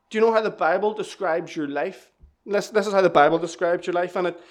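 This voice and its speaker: Earnest young preacher. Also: male voice, sits high. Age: 20s. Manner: earnest, repeats key lines for emphasis. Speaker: lay preacher giving testimony. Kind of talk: church testimony and sermon.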